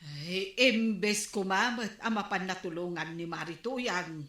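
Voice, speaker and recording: Casting: actress as tut-tutting Ilocano gossip, sharp tone, roomy sound, radio comedy drama. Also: female voice, medium pitch, a little slow, close to an everyday voice. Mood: disapproving. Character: nosy, sympathetic village neighbour woman gossiping.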